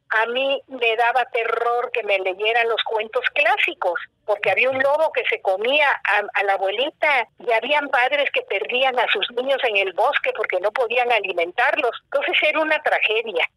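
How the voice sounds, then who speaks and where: Older lady from guatemala, bright higher voice, dry sound, chatty and playful. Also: moderate pace, few pauses, dry recording, rough recording. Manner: warm storytelling, animated, playful. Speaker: guest, children's writer. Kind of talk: casual interview.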